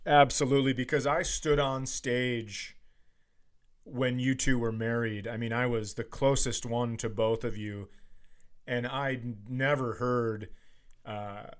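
A man's voice: medium pitch, fairly even intonation, a little slow, clean tone. A man co-hosting a comedy podcast in casual banter, teasing and riffing on hypothetical scenarios.

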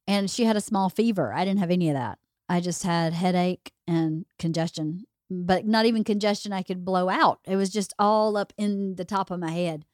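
A woman hosting a podcast in casual chat, her voice a little hoarse as she loses it, talking in a rambling, chatty way. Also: lowish voice, darkish tone, no echo, studio quality. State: slightly hoarse, losing her voice. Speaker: podcast host.

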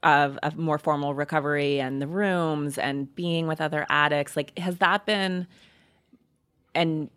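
A woman's voice: deep voice, fairly even intonation, unhurried, a bit bright, clear, resonant tone, dry recording, clean studio sound. A woman interviewing in a casual, conversational way, feeling her way through questions with restarts. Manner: tentative, rambling phrasing with restarts.

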